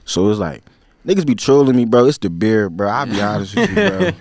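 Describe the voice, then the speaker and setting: A man in his twenties with a Philadelphia accent, a podcast guest talking in a relaxed, slang-heavy, rambling way. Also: on the low side, brisk. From Philadelphia, USA. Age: 20s. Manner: laid-back, slang-heavy, rambling with many fillers. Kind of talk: casual interview chat.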